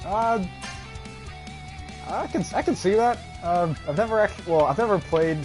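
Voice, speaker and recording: A man's voice: sits high, normal speed. A man casually commenting on a live game stream, chatting like an enthusiastic fan in rambling, slangy talk. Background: game music with guitar.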